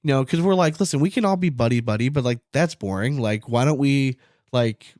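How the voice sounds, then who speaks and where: A man's voice: mid-range voice, a little fast, a little mellow, no room sound, studio quality. A man co-hosting a podcast, chatting casually and telling stories in an informal, conversational way. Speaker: podcast co-host.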